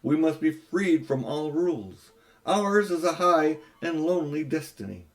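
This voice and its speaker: A man's voice: fairly high pitch, expressive, measured pace, voice pushed up. A cold, imperious queen, as an older man reading a storybook puts her on. She speaks with haughty disdain, as if every listener were beneath her. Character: haughty cruel queen, tall and commanding.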